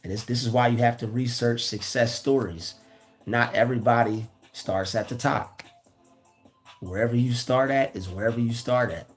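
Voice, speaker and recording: Raspy-voiced man, earnest pep talk, repeats himself, faint music. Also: mid-range voice. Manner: repeats phrases, preachy and earnest. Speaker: motivational vlogger. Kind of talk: casual motivational talk.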